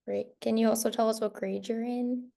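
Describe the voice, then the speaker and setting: A woman's voice: fairly high pitch, flat delivery, fairly quick, gravelly, mellow. A woman moderating a school's virtual information session over a video call, casual and encouraging as she hands the floor to others.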